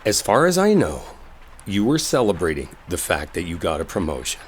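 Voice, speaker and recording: A man's voice: deep voice, huge pitch swings, normal speed, husky, heavily acted. A man playing an exasperated, defensive coworker who is secretly smitten, in an emotional roleplay audio drama. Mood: defensive, annoyed. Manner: emotional, pleading, emphatic, conversational. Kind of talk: roleplay audio drama, intimate one-sided dialogue.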